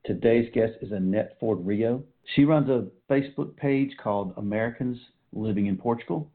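A man with a Southern US accent hosts an interview in a relaxed, conversational way. He talks at an average pitch and often chuckles between his questions. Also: normal speed, narrow, tinny audio. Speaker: podcast host. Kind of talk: friendly interview hosting.

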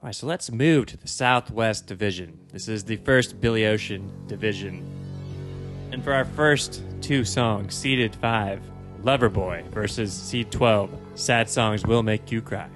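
A man with a lowish voice hosts a loose chat, teasing his friends while laying out the rules. A song plays clearly behind him. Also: measured pace. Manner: teasing, steers the format. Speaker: podcast host running the bracket. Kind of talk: casual chat among friends. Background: music playing.

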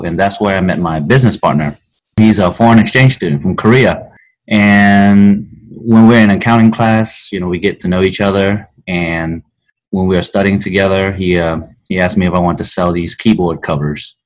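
Deep-voiced man telling his story. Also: low-quality recording. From Vietnam. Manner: relaxed storytelling with many fillers. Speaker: podcast guest. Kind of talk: casual chat.

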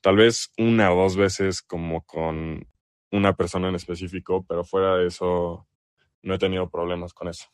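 A man's voice: low-pitched, little pitch movement, moderate pace, clear, resonant tone, no room sound, studio quality. A young man with a Mexican accent answering casually in an interview as an athlete. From El Salvador. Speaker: basketball player being interviewed.

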